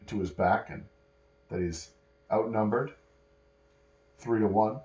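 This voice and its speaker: A man improvising a bedtime story as narrator, pausing and correcting himself as he goes. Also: lowish voice, a little mellow, lo-fi sound. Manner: pauses and self-corrections while telling. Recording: echoey room.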